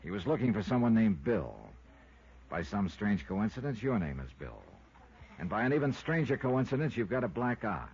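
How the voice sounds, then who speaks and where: An American actor playing a wry, suspicious insurance investigator with clipped, probing delivery in a 1950s radio detective drama. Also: male voice, fairly low pitch, very animated, normal speed, husky, exaggerated voice. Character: hard-boiled, suspicious male insurance investigator. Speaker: lead actor and narrator. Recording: lo-fi old radio recording, loud noise.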